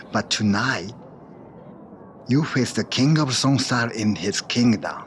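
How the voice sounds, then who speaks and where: Slow, menacing wrestling rival. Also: male voice, mid-range voice. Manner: slow, deliberate threats. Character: menacing Japanese wrestling star, cold and threatening. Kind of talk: video game cutscene acting. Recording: clearly noisy ambient background.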